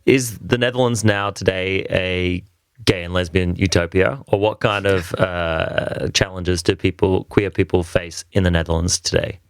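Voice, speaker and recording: A man hosting a history podcast, asking his guest measured interview questions. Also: low voice, steady tone, smooth, no room sound. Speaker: podcast host.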